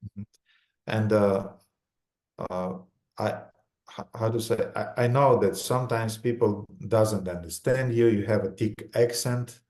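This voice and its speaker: Low-voiced man lecturing in English with a Romanian accent. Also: a little mellow. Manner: speaks in short phrases, pausing for the interpreter. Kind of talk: religious lecture with consecutive interpretation.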